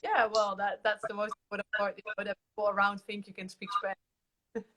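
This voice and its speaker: A woman's voice: medium pitch, level tone, a little fast, a crowd in the background, low-quality recording. A Dutch woman with a Dutch accent, chatting as a guest, bubbly and laughing, with hesitant restarts. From Netherlands. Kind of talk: casual chat. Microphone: phone.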